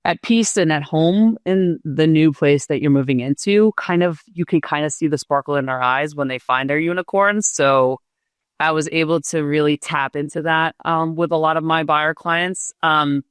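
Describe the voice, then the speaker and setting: A woman in her forties from Israel, a real estate agent answering interview questions in a measured way with frequent fillers. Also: low voice, clean tone, no room sound, studio quality.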